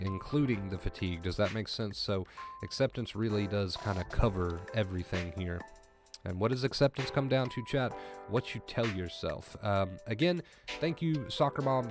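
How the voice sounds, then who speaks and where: A man hosting a livestream about anxiety, reading chat and thanking viewers by name. He speaks in a calm, reassuring way, repeats key phrases, and sounds congested, clearing his throat often. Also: lowish voice. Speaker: livestream host coaching on anxiety. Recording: music clearly audible behind.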